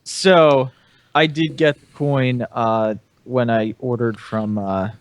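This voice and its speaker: A man's voice: medium pitch, steady tone, slow pace, no room sound. A man in casual conversation as a podcast panelist. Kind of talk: casual chat.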